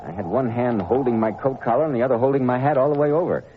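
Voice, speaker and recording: A man announcing and chatting on a 1940s radio show, crisp and formal. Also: medium pitch, quick pace, a little hiss or hum. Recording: tinny 1940s radio broadcast with music.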